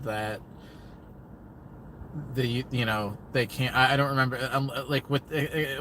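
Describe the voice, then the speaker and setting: A man's voice: medium pitch, fairly bright tone. Young male streamer, rambling and scattered, phone mic in a parked car, faint noise behind. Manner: rambling, self-talking, scattered. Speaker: livestreamer. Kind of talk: casual livestream chat. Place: inside a parked car at night. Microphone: phone camera at arm's length.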